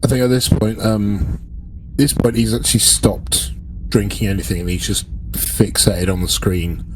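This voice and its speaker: A man's voice: lowish voice, normal speed, slight background noise, rough recording. A man taking part as a player in a tabletop roleplaying game, chatting casually and speaking for his character.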